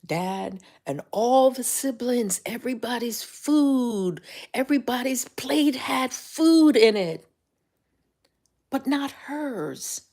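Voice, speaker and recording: An older woman with a Jamaican accent giving motivational talk on a livestream, telling stories animatedly and dropping to whispers. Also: slow pace. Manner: animated storytelling, rises high when excited, drops to whispers. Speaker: life coach and livestream host.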